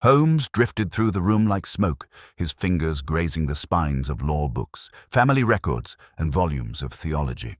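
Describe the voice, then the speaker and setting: A man with an extremely low voice narrates a detective story. His pitch moves up and down in lively swings, and the sound is dry and close. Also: measured pace, hardly any pauses, dry recording. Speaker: audiobook narrator.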